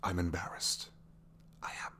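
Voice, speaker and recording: A man's voice: measured pace, pushed and bright. A man voicing a reluctant captured supervillain, dry, sheepish and complaining, in a hesitant, rambling way, for an intimate ASMR roleplay. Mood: embarrassed, exasperated. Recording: faint background noise.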